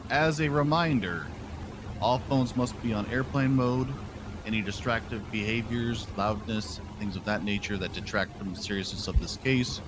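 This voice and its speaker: A man playing a judge in a roleplay courtroom, stern and procedural as he lays out the rules. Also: fairly low pitch. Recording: noisy game voice chat.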